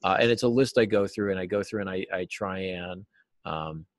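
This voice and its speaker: A middle-aged man with a fairly low voice talks as a guest in a casual interview. He explains his craft through examples, a little self-deprecating. The sound is dry and close, with little room in it. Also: fairly quick, dry recording. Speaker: interview guest, novelist and writing teacher. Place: small cluttered office, indoors. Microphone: video call, seated at a desk.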